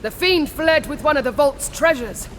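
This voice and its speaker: An actress playing a proud, righteous holy warrior in a fantasy game, solemn, commanding and declamatory. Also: female voice, high voice, lively intonation, moderate pace, a bit bright, in a large hall, a little hiss or hum. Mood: grave resolve. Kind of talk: dramatic fantasy game acting.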